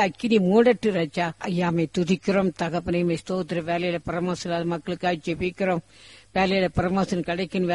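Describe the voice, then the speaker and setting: A man's voice: sits high, a little mellow, no room sound. A preacher, a man praying fervently in repeated, pleading petitions. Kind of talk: fervent extempore intercessory prayer.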